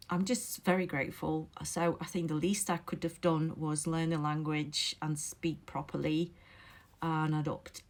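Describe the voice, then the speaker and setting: A middle-aged woman from Latvia speaks English with a Latvian accent blended with Derbyshire English, in a somewhat low voice. She reflects casually at home and stops often to think over her grammar. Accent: Latvian with a Derbyshire English touch. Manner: thoughtful, many pauses and fillers, careful phrasing. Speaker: memoir author and vlogger. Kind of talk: casual reflective monologue to camera. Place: home, indoors.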